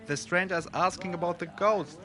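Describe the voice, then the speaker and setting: A man voicing a brisk, excitable, theatrical innkeeper in a video game. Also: high-pitched, close to an everyday voice. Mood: excited. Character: excitable, brusque male haunted-inn keeper. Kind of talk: video game character dialogue.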